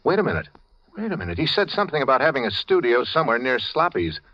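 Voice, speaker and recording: A man's voice: medium pitch, big pitch swings, quick pace. An actor playing a wary, desperate American man on the run, talking in terse hard-boiled style in 1940s radio drama. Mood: urgent. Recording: dull, lo-fi old radio recording.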